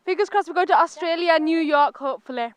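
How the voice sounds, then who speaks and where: A woman's voice: very high voice, fast. An eighteen-year-old woman from Bradford, explaining eagerly and fluently in an interview. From Bradford, England. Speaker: interviewee, stage performer. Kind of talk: casual interview chat.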